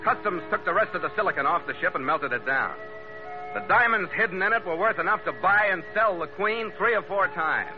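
An actor playing a hard-boiled, wry American sea captain in 1940s radio adventure style, with a clipped, tough delivery. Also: male voice, high voice, animated, a little fast, music in the background. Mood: brisk narrating. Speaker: lead actor and narrator. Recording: noisy, muffled old radio recording.

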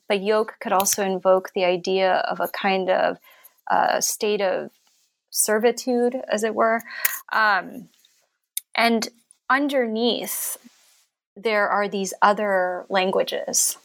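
A woman's voice: mid-range voice, gravelly, fairly bright tone. A woman author in an interview, reading a passage aloud and then talking it through, thoughtful, with many fillers and restarts. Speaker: author and scholar.

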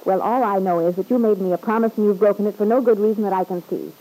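A woman's voice: medium pitch, fairly even intonation, fast talker, warm tone, natural voice, low-quality recording. An actress playing a proud, irritated wife in a 1940s radio drama, indignant and haughty in her clipped replies.

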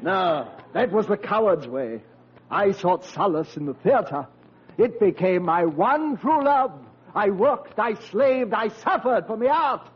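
Vain hammy stage actor, plummy, swoops all over, fuzzy old radio recording. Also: male voice, sits high, expressive, measured pace, husky, dull audio, heavily acted. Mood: grandly declaiming. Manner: pompous, declamatory, theatrical. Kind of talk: 1940s radio comedy acting.

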